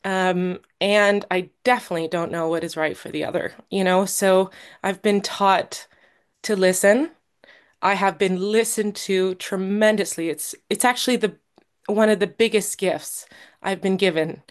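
A woman speaking as the guest at a recovery meeting, sharing her own story in a halting, earnest way with many pauses and restarts, and a little nervous. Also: medium pitch, fairly even intonation, smooth. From Canada. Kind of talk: personal recovery share.

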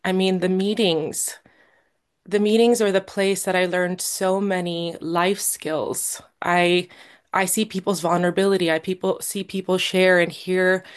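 A woman speaking as the guest at a recovery meeting, sharing her own story in a halting, earnest way with many pauses and restarts, and a little nervous. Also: on the low side, fairly even intonation, smooth. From Canada. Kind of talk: personal recovery share.

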